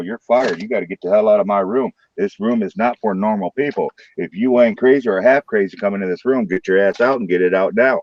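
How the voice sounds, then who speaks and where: A man with a St. Louis accent hosting a late-night live stream from his room, joking loudly and swearing freely. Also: fairly low pitch, lo-fi sound, a little hiss or hum. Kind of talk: casual live stream chat.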